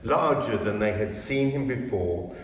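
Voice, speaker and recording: A man's voice: fairly low pitch, lively intonation, a little slow. A man narrates a storybook aloud with expression. He takes his time, and his voice carries a heavy echo and long reverb inside a church, with faint noise behind. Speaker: clergyman reading a storybook aloud.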